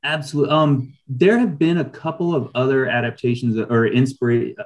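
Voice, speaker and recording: A man's voice: medium pitch, brisk. A middle-aged man speaking as an interview guest on a video call, explaining things reflectively in long, wandering sentences.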